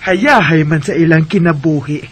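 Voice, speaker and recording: An actress with a Visayan Filipino accent playing a kind, generous older single woman in a radio drama, gentle and reassuring. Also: low voice, normal speed, husky. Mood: kindly, reassuring.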